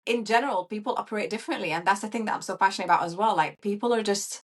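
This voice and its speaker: A woman from Syria, a coach who is a guest on a podcast, talking in a chatty, animated way with plenty of fillers. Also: medium pitch, rapid-fire. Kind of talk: casual interview chat.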